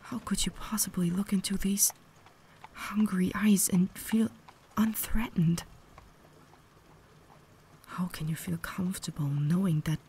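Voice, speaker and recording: A breathy, whispering vampire lady played by a woman, cultured and caring but holding herself back. Her delivery is formal and earnest, quite even in pitch, with a creaky edge. It is an ASMR roleplay with some faint noise behind the voice. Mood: anxious, incredulous. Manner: formal, measured, earnest diction.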